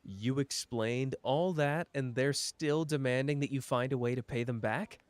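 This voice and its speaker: A man doing a slow, flirtatious charmer. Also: medium pitch, clear, resonant tone, no room sound, close to an everyday voice.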